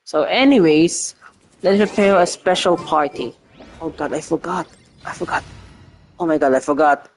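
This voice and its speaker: A man's voice: fairly high pitch, normal speed, pauses often. A man giving casual, hesitant gameplay commentary as a streamer, rambling and swearing freely over game music. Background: faint game music.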